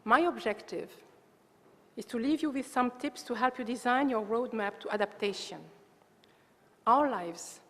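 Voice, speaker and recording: An older woman gives a formal prepared conference speech, earnest and measured, delivered phrase by phrase. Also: a little high. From Tunisia. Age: 50s or older. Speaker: water agency coordinator, conference speaker. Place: large indoor conference hall. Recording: reverberant hall, long echo.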